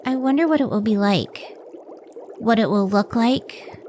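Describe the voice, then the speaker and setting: An actress plays a devoted woman scientist in an old-time radio drama. Her voice sits fairly high and she speaks with earnest, idealistic care. A noticeable hiss and room ambience sit behind her. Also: measured pace, darkish tone, natural voice. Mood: tender wonder.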